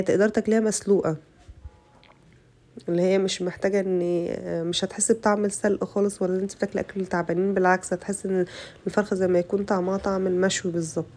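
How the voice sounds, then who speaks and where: A young Egyptian woman with an Egyptian Arabic accent, her voice rough from a bad cold, chatting to her viewers through a casual cooking tutorial. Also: mid-range voice, darkish tone. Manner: chatty, addresses viewers as girls. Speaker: cooking channel host.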